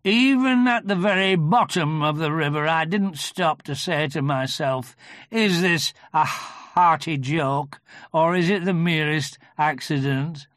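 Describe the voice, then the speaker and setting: A reader acting a gloomy, very slow old donkey. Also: medium pitch, a bit bright, no echo, studio quality, natural voice. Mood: gloomy, sarcastic. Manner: ponderous, gruff, morose. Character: gloomy, grumbling, slow-talking old male toy donkey. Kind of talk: children's storybook character reading.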